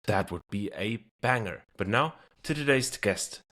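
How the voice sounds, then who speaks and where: A man's voice: lowish voice, expressive, unhurried, fairly bright tone. A man hosting a podcast, opening the show and interviewing his guest in an upbeat, informal way. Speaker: podcast host.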